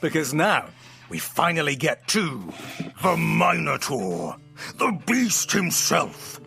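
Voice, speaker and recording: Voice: mid-range voice, very animated, slow, vocal fry, bright, forceful tone, faint music behind, heavily acted. An actor in an audio drama playing a theatrical, playful storyteller friend with hammy flourishes and sheepish, affectionate asides. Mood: gleefully theatrical.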